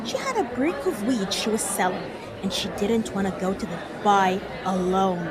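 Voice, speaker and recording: A woman's voice: mid-range voice, animated, normal speed, over a noisy crowd, lightly acted. A woman acting in an audio drama as a slangy, foul-mouthed undercover cop spinning a street story. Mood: matter-of-fact. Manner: slangy, profane, exasperated storyteller. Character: male undercover cop rehearsing a foul-mouthed pot dealer's anecdote.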